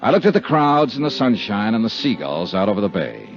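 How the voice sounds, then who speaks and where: A man's voice: fairly low pitch, big pitch swings, a little fast. A man playing a tough, wry insurance investigator in a 1950s radio drama, speaking and narrating in a hard-boiled manner. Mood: brooding. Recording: muffled 1950s radio recording with music.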